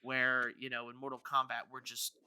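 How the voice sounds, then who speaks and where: Bright, forceful man chatting on a podcast. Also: mid-range voice, monotone, moderate pace, smooth, dry recording. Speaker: podcast co-host. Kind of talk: casual debate chat.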